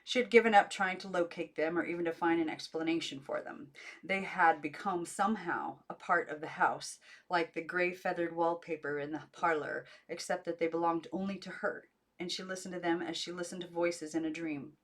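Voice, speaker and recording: Female amateur audiobook narrator, lower-pitched, scripted children's fiction read aloud. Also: steady tone, moderate pace, a bit bright.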